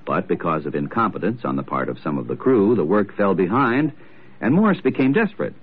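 A man's voice: low voice, very animated, brisk, breathy edge. A man delivering earnest, declamatory scripted narration in the style of 1940s radio. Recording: tinny old radio recording.

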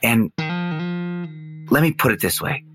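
An actor playing a fascinated investigative storyteller, narrating a documentary-style fiction podcast in a musing, deliberate way with dramatic pauses. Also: male voice, medium pitch, lively intonation, close to an everyday voice. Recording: guitar music under the voice. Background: plucked guitar music.

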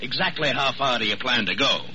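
A man playing a gruff, suspicious police captain in an old-time radio drama, blunt and sarcastic. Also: medium pitch, fairly quick, sharp tone, lo-fi sound. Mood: accusing. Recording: faintly noisy, band-limited vintage radio recording.

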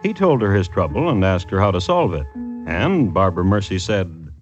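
A man's voice: low-pitched, big pitch swings, moderate pace. A man narrating a scripted old-time radio drama as a storyteller, with a wry, literary manner. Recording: muffled vintage radio recording. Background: music.

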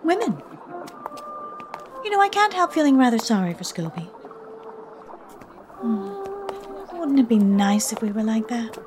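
A woman playing a chic, talkative, anxious young widow in a comic radio mystery, in an expressive theatrical style. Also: high voice, a little slow, long pauses, noisy background. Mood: breezy, wistful. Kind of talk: radio drama acting.

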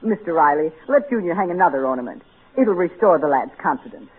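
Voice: mid-range voice, lively intonation, fast talker, natural voice. An actor playing a snooty, sly rich boy, formal and sarcastic, in 1940s radio comedy on a noisy, tinny recording. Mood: smugly superior.

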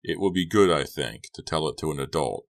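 A level-headed teenage boy who takes charge and can talk tough, read by a male narrator. The voice is very deep, firm and steadying, in storybook dialogue. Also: animated, fairly quick, creaky, full character voice. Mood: reasoning.

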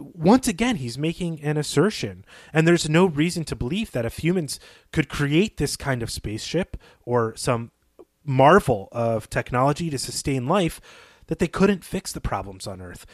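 A man's voice: a little high, no room sound. A man co-hosting a podcast, analysing fiction in a thoughtful, emphatic explaining way. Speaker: podcast co-host. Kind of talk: analytical literary discussion.

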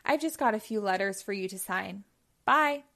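A woman's voice: medium pitch, animated, moderate pace, vocal fry, fairly bright tone. A woman voicing a cheerful, teasing, caring female coworker in a children's storybook with emphatic delivery. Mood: friendly.

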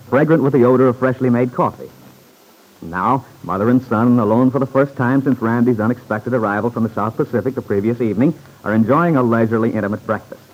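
A man narrating a 1940s radio serial in a measured storytelling manner. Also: medium pitch, poor audio. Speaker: announcer, narrator. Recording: vintage radio recording.

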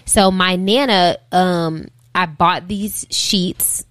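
A woman's voice: lowish voice, fairly even intonation, extremely slow, fairly bright tone. An American woman speaking casually as an interview guest, informal and reflective, thinking aloud as she answers. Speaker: interview guest, singer and rapper.

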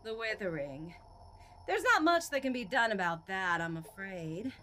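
A woman's voice: mid-range voice, big pitch swings, unhurried, sharp tone, a little hiss or hum. An actress playing a haughty, cruel female emperor in an audio drama, with an imperious, mocking, theatrical delivery. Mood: grave. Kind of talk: audio drama villain acting.

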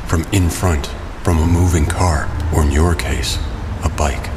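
A man's voice: rumbling low, unhurried, noisy background. An actor playing a grim, commanding male vigilante who speaks in stern, terse instructions in an audio drama. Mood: grave, instructive.

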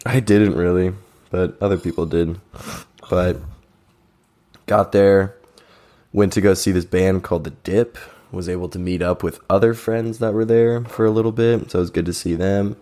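A man's voice: deep voice, fairly even intonation, moderate pace, warm tone. A young man co-hosting a podcast, telling festival stories in a laid-back, joking way, his voice a bit strained. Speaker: podcast co-host. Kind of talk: casual chat.

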